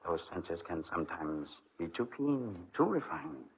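An actor playing a sly, manipulative older guardian uncle in an insinuating, coaxing 1940s radio drama style. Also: male voice, sits low, sing-song, measured pace, creaky, husky, heavily acted. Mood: hushed insinuation. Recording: muffled vintage 1940s radio recording.

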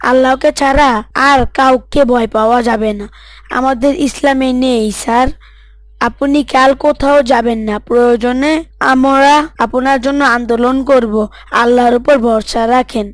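Woman as an earnest, high-voiced devout schoolboy. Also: fairly even intonation, moderate pace, dry recording, lightly acted. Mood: firm, encouraging. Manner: earnest, preachy, polite. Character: devout, earnest schoolboy Abu Rayhan, bold and principled.

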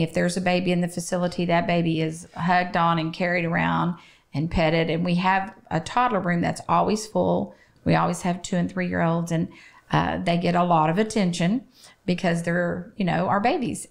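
A middle-aged woman speaks in a lowish, gravelly voice. She gives conversational answers as a podcast guest, close to a studio microphone. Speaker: children's shelter representative, podcast guest. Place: small podcast studio, indoors. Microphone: close studio microphone on boom arm.

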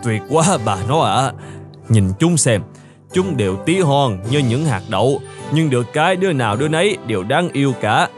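A man's voice: medium pitch, moderate pace, lightly acted. A man playing an elderly, grumbly but kindly husband in a fairy-tale cartoon, speaking expressively. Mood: amazed. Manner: expressive, exclamatory. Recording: music behind the voice.

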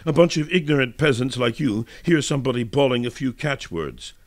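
A man's voice: mid-range voice, animated, a little fast, pitched above the performer's own voice, close to an everyday voice. A man voicing a supercilious, scornful young male student in a dramatic reading. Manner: haughty, lecturing.

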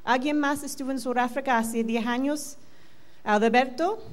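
A middle-aged American woman whose first language is English, giving a conference talk in a large hall on a handheld mic, quick with many fillers. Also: high-pitched, steady tone. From United States. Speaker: conference presenter. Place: large hotel conference room. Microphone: handheld microphone.

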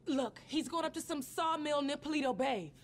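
Woman acting as fed-up, high-voiced young American woman. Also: moderate pace, slight background noise, close to an everyday voice. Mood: resigned, reproachful. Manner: reproachful, blunt, emphatic. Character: fed-up, firm young woman scolding her ex. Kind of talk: video game cutscene acting.

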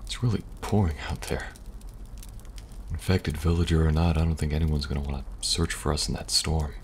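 A man playing a calm, protective young special agent in an ASMR audio roleplay, speaking softly and reassuringly with dry humor and a gravelly, at times breathy voice. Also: rumbling low, moderate pace. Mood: gentle, reassuring. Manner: soft, hesitant, reassuring, dryly humorous. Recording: audible background noise, ambience.

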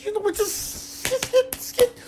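A man voicing an exasperated, put-upon male cat with halting, fed-up sputtering in a comic dub. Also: extremely high pitch, fairly even intonation, noisy background, voice pushed up.

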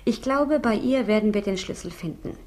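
An actress playing a composed, inquisitive young doctor in a 1960s radio crime drama, speaking in a measured, probing way. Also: female voice, medium pitch, darkish tone, lightly acted. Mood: curious, composed. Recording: hissy 1960s radio recording.

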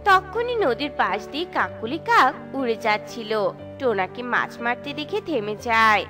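A woman's voice: a little high, sing-song, normal speed, music in the background. A woman narrating a scripted children's story.

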